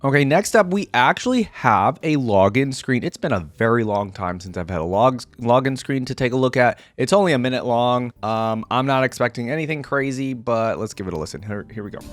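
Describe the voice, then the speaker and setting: A man's voice: mid-range voice, expressive, fairly quick, hardly any pauses, no room sound. A man hosting a reaction video with casual, conversational commentary, thinking aloud and qualifying his opinions as he goes.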